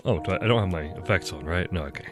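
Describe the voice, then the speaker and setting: Stuffy-nosed low-voiced male gamer. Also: rapid-fire, over music. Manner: chatty, rambling, self-deprecating. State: stuffy from allergies. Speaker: gaming streamer. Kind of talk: casual game commentary.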